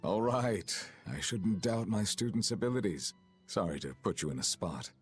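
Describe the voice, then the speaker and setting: An actor plays a calm guardian and mentor in an anime-style fantasy game. His voice is deep and his words are measured. Music plays behind his reserved delivery. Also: close to an everyday voice. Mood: calm, apologetic. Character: calm, stoic adult swordsman, family guardian and mentor.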